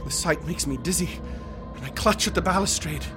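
A haunted young male student played by a man in a radio drama, speaking in a fairly high voice with intense, florid phrasing. Prominent music runs behind his obsessed, dramatic telling. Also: fairly quick, natural voice. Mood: feverish dread.

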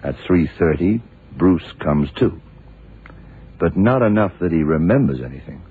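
An actor playing a blind detective in a 1970s radio drama, a gruff, commanding mentor who explains things deliberately with authority. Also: male voice, sits low, animated. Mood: explaining, deliberate. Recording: tinny, narrowband old radio recording.

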